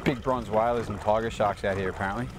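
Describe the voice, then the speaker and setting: A man, hosting a fishing show from a small open boat at sea and commentating excitedly, often breaking into shouts and laughter. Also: on the low side, fast. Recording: loud wind, water and motor noise.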